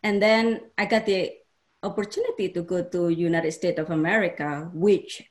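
A woman from Indonesia with an Indonesian accent, giving an informal personal talk as a panel speaker, haltingly searching for words. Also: on the low side, fairly even intonation.

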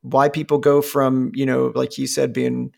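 Man, 40s, gravelly voice, casual talk, rambling, swears a lot, many fillers. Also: medium pitch, flat delivery, normal speed. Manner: rambling and profane, with frequent fillers and restarts. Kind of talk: casual conversation.

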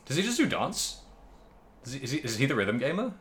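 A man's voice: mid-range voice, very animated, fairly quick, a bit bright. A man co-hosting a podcast, chatting casually and jokily about a video game in a rambling, stop-start way. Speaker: podcast co-host.

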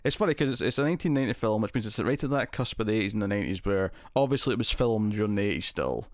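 A man's voice: fairly low pitch, lively intonation, racing, no room sound. Man, podcast host, chatty, laughs a lot, dry close sound. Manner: chatty, laughs often, restarts words. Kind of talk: casual chat.

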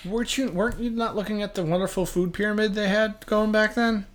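A man from western Massachusetts chats as a podcast co-host. He tells his stories casually, rambling and haltingly, often doubling back to repeat a phrase. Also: sits high, fairly even intonation, a crowd in the background. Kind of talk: casual personal storytelling.

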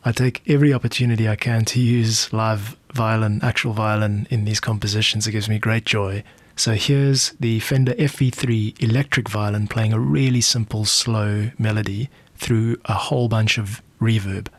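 A South African man hosting a podcast, explaining in a measured way like a music producer walking through his work. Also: fairly low pitch, fairly even intonation, dry recording.

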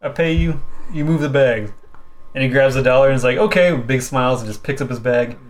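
A man's voice: mid-range voice, brisk, rough recording. A man co-hosting a casual film podcast, laid-back and slangy, acting out lines as he retells. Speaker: podcast co-host. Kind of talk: casual movie discussion.

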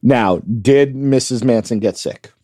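A man co-hosting a casual podcast, chatty and curious, asking lots of questions and filling in with quick replies. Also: mid-range voice, mellow, no echo, studio quality. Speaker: podcast co-host. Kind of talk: casual chat.

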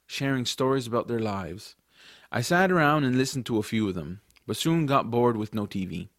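A man's voice: mid-range voice, dry recording, clean studio sound, natural voice. A man playing an adventurous, skeptical young boy in an audiobook drama, telling his story expressively. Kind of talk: audiobook first-person narration and acted dialogue.

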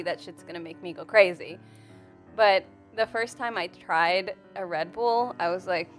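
A chatty woman in her thirties talking casually and expressively, often acting out her own thoughts. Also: medium pitch. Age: 30s. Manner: chatty, expressive, quotes herself. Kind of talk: casual group chat. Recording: music behind the talk.

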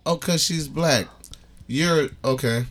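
A man bantering casually as a podcast co-host, telling stories in animated, profane slang. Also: on the high side, slow. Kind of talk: casual comedic group banter.

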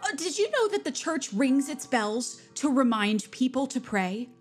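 A woman playing a zealous, nosy high school senior in an audio drama, pushy and earnest in a self-righteous way. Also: very animated, measured pace, faint music behind. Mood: fervent.

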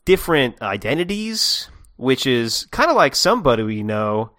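A man with a mid-pitched, very lively voice chats as a podcast co-host. He rambles playfully, stopping and restarting as he thinks aloud. The sound is close and dry. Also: moderate pace, no room sound. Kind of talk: casual podcast chat, joking theorizing.

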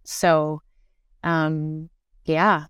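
Clear-voiced woman, close and dry. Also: sits low, drawn-out words, dry recording. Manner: animated, thinking aloud with repeated words and self-interruptions. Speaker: interview guest, musical theatre actor. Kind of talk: casual reflective conversation.

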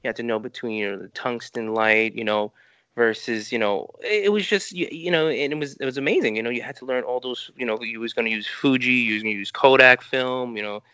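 A man's voice: medium pitch, fairly quick, sharp tone, smooth, dry recording. A middle-aged man with a New York accent, a filmmaker rambling through interview answers with many restarts and "you know" fillers. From New York City. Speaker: filmmaker guest.

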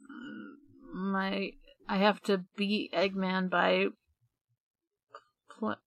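Casting: female podcast co-host, creaky and unhurried, hesitant, trailing-off casual chat with offhand swearing. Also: mid-range voice, flat delivery, no echo.

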